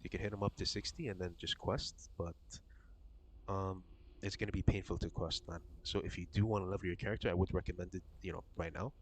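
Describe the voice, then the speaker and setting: A man streaming a game and chatting casually with his viewers, his voice slightly raspy from being sick, rambling and swearing loosely. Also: deep voice, slight background noise.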